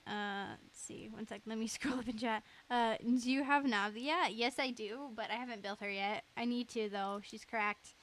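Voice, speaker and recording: A woman's voice: a little high, moderate pace, hardly any pauses, a bit bright. A woman chatting casually on a game livestream in a giggly way, reading out chat as she goes. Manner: giggly, reads chat aloud. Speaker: game streamer.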